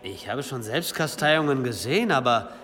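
An actor playing a sly, prying medieval monk in a historical radio drama, mocking and insistent. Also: male voice, mid-range voice, animated, moderate pace, fairly bright tone, close to an everyday voice. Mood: needling. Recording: long reverb of stone cloister, faint noise.

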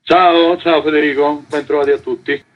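A man's voice: on the high side, steady tone, moderate pace. A man giving a spontaneous, explanatory radio interview as a guest who organises theatre events. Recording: dull, lo-fi radio interview sound.

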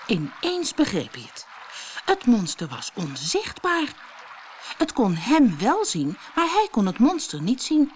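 A woman narrating a scripted children's story in an expressive storytelling manner. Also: on the high side, unhurried, a little hiss or hum. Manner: expressive storytelling, acts out dialogue. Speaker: audiobook narrator.

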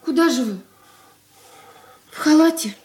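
Radio drama: eager young daughter, high-voiced, earnest and quick, echoey room. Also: female voice, animated, darkish tone. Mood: anxious. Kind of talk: radio play acting. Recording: roomy, echoing radio studio recording.

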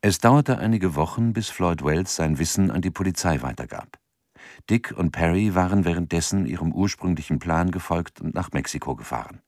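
Deep, dry-sounding male narrator. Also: animated, moderate pace, darkish tone, no echo. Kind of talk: scripted documentary-style radio drama narration.

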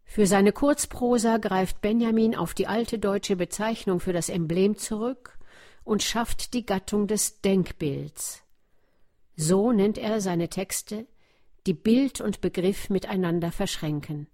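A woman's voice: medium pitch, takes it slowly, warm tone. A woman narrating a scripted documentary for radio.

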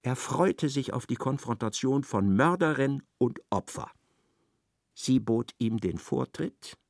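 A man narrating a literary radio play in a measured, scripted manner. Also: medium pitch, lively intonation, vocal fry, no echo, studio quality. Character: measured male narrator of a satirical novel.

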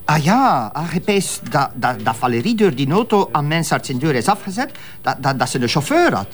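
A man's voice: fairly high pitch, very animated, a little fast. An actor with a Flemish accent playing an eager, slightly dim policeman in a radio detective drama, chatty, hesitant and defensive.

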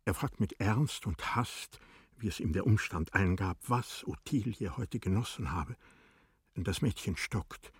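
A man narrating a tragic classic story in a radio play, in a solemn, measured, dramatic manner. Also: fairly low pitch, slightly rough, no room sound, studio quality, exaggerated voice. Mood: urgent.

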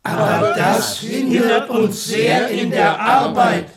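Casting: fairy-tale dwarf; extremely high, very slow chant, earnest and excitable. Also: male voice, over a noisy crowd. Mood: fretful. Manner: drawn-out, chanting. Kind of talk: German radio play fairy-tale acting. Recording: echoing, distant, voices crowding behind.

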